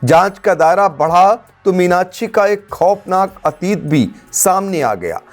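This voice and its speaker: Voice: medium pitch, expressive, unhurried. A news narrator reading a scripted crime report in a dramatic, emphatic manner. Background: background music.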